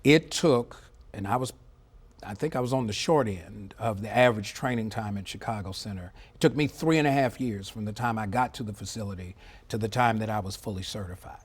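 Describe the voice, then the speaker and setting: A middle-aged man speaking as an expert interview guest, explaining in a measured, emphatic way and often checking in with a short tag question. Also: lowish voice, husky. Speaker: former air traffic controller, interview guest. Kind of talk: live TV news interview, explanatory commentary.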